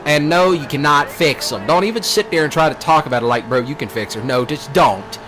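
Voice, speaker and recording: A man's voice: fairly high pitch, a little fast. A man with a Southern US accent, a streamer rambling casually in a folksy, brash way with rural slang, often repeating himself. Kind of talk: casual rambling rant over game play. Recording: noisy, with steady vehicle sounds behind.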